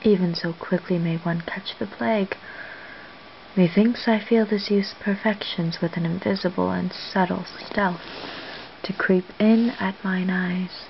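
A woman playing a lovestruck noblewoman in a soft, hushed, tender Shakespearean stage monologue. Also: fairly low pitch, fairly even intonation, unhurried, vocal fry. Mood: musing, smitten. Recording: dull lo-fi home recording, faint hiss.

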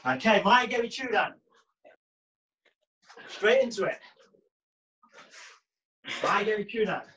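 A man's voice: sits high, animated, slow, fairly bright tone, lo-fi sound. A man coaching an online karate class from a small brick-walled gym, calling out drills and cheering students on as he trains with them. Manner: barking encouragement and commands while training. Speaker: karate instructor.

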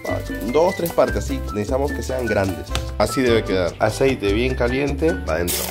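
A man's voice: medium pitch, expressive, moderate pace, over loud music. A man with a Peruvian Spanish accent hosting a casual food vlog, playful and colloquial as he talks straight to viewers. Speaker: food vlogger and host. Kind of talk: casual cooking vlog chat.

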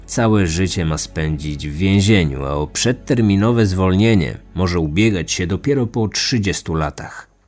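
Low-voiced male true crime narrator. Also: animated, unhurried, darkish tone, soft background music. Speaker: true crime podcast host. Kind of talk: scripted documentary narration.

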